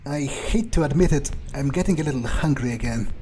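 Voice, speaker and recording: A man's voice: mid-range voice, brisk, dark tone, a little hiss or hum, natural voice. A man voicing a cocky, bloodthirsty newly turned vampire, thinking aloud in a profane, musing way during a video game roleplay. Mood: predatory, relaxed. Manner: musing, arrogant, profane, hesitant pondering. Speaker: player character in a roleplay playthrough. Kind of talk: in-character video game playthrough commentary.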